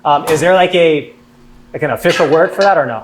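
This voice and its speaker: An American man hosting a casual interview, halting as he phrases his questions and affirming his guests. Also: on the high side, low-quality recording. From Los Angeles area, United States. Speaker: host.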